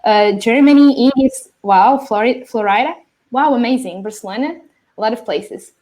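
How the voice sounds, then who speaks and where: A woman's voice: on the high side, normal speed, a little mellow. A woman hosting a live online webinar, chatty, greeting viewers and reading out messages from the chat. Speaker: webinar host.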